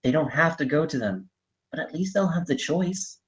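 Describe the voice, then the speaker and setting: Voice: medium pitch, animated, normal speed, lightly acted. A performer reading the part of a determined, stubborn young orphaned drone racer at a screenplay table read, speaking insistently. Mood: determined, defensive.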